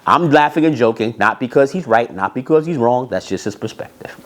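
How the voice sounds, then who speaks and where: A man with a medium-pitched voice tells a story with very lively, swinging intonation. He is emphatic, repeats phrases to drive them home, and slips into reported dialogue as he goes. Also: fairly quick. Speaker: storyteller, music industry executive. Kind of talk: animated anecdotal storytelling.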